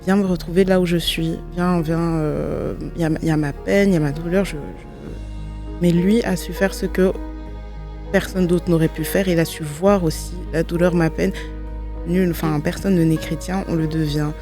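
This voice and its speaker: A woman's voice: on the low side, fairly even intonation, moderate pace, music in the background. A woman, giving a personal testimony in a reflective, hesitant way, often correcting herself. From Belgium. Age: 20s. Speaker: guest, law student giving testimony.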